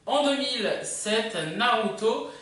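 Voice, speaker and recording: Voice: medium pitch, takes it slowly, a bit bright. A video host presenting a countdown casually and unscripted, rambling and hesitant, often drifting into asides. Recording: echoey room, distant microphone.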